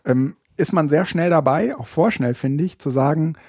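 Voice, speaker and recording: A man co-hosts a casual podcast, thinking aloud in long, winding sentences full of fillers and restarts. His voice is mellow and breathy-husky. The sound is dry and close. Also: on the high side, moderate pace, no echo. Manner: hesitant, many fillers and restarts. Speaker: podcast co-host. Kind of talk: casual chat.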